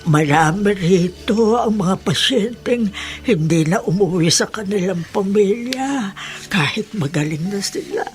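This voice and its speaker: An actress playing a gentle, resigned older aunt in a Filipino radio drama, speaking in a halting, plaintive way. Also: female voice, mid-range voice, animated, soft background music, close to an everyday voice. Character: older aunt, cured former leprosy patient, gentle and resigned.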